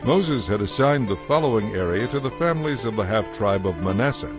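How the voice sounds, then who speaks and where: A man reading scripted scripture narration as narrator, in a measured, formal manner. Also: on the low side, lively intonation. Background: background music.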